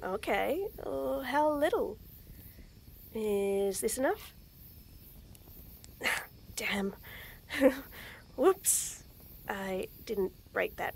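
A young woman in a scripted roleplay audio, talking to the listener with nervous stammers, frequent giggles and soft whispers. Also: medium pitch, creaky. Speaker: voice actress in a girlfriend audio.

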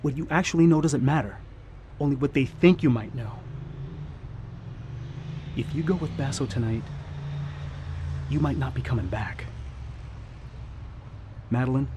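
An actor playing a world-wise, protective barkeep, blunt and slangy, in an old-time radio noir style. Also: male voice, mid-range voice, a little mellow, slight background noise, lightly acted. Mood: urgent warning.

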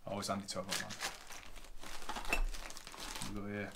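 A man's voice: fairly low pitch, completely flat delivery, normal speed, creaky, heavy background noise. A man, a plumber chatting casually as he unpacks and lists boiler parts at home. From Northern England. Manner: chatty, matter-of-fact, rattling off parts. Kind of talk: casual unboxing vlog. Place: living room at home. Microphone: camera on a tripod, seated at a table. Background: plastic bags crinkling.

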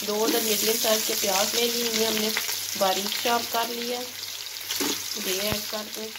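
A woman narrating a cooking recipe step by step, in a steady, instructive way, in a home kitchen. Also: on the high side, moderate pace, heavy background noise. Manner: steady, instructive, repeats "ye dekhiye". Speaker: cooking channel host. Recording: loud kitchen sizzle behind.

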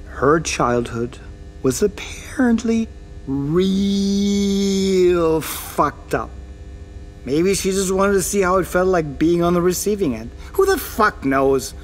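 A man's voice: high-pitched, expressive, slow pace, slight background noise. A man playing a crude, unrepentant middle-aged drunkard father in an audio drama, flippant, hesitant and foul-mouthed. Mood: evasive, irritated.

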